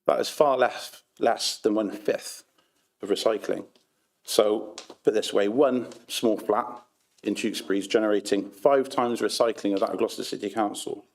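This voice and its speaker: A man's voice: lowish voice, darkish tone. A man from the public putting a question to a council meeting, pressing on when he is cut off. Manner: persistent, pushes on when interrupted. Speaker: member of the public asking a question.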